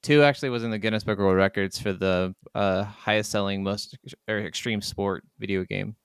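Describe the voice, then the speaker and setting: Clear-voiced man chatting on a podcast. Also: lowish voice, steady tone, brisk, no echo, studio quality. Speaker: podcast co-host. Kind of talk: casual gaming podcast chat.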